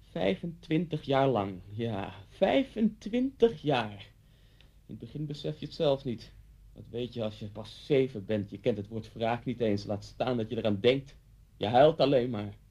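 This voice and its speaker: A man's voice: mid-range voice, expressive, measured pace, darkish tone, husky. An actor playing a vengeful, deranged middle-aged man in a radio play, mocking and theatrical as he threatens someone. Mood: bitter, agitated. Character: vengeful, deranged man taunting his old schoolteacher at gunpoint.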